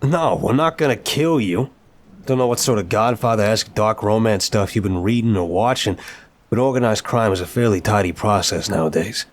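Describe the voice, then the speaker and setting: A man performs a mafia boss with a low, deep voice for an ASMR-style audio drama. He is wry and apologetic, a charming criminal who chats in casual mobster slang. The delivery is scripted yet conversational. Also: normal speed, lightly acted. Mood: wry, explaining. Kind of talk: scripted ASMR roleplay acting.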